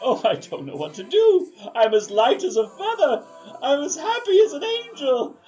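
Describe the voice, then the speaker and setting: An actor playing an exuberant, reformed old miser in a theatrical family radio drama, exclamatory and effusive. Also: male voice, extremely high pitch, very animated, normal speed, darkish tone, faint music behind, poor audio. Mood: giddy joy. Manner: exclamatory, effusive, chuckling, repeats himself. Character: old miser reborn as giddy, exuberant, warmhearted gentleman.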